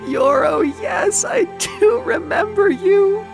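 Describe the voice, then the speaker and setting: Melodramatic woman, very high pitch, tearful, pleading, drawn-out delivery, audio play. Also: lively intonation, gravelly, over music, exaggerated voice. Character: weeping, gushing, theatrical mother, newly transformed woman.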